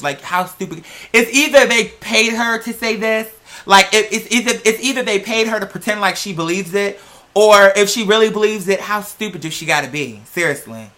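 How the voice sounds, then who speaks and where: A woman with a bright, forceful voice at a middling pitch delivers casual reaction commentary. She is blunt and skeptical, leaning hard on her points and swearing freely as she hosts. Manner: blunt, skeptical, profane, emphatic. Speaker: host of a reaction video.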